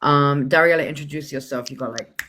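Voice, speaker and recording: A woman interviewer putting casual quick-fire questions in an informal, brisk, directing way. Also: deep voice, fairly even intonation, a bit bright, a little hiss or hum.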